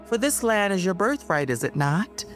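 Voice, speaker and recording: Woman voicing a falsely soothing colonizer, raised pitch, condescending, self-correcting, faint music behind. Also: very animated, moderate pace, natural voice. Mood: sneering. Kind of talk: spoken-word poetry performance.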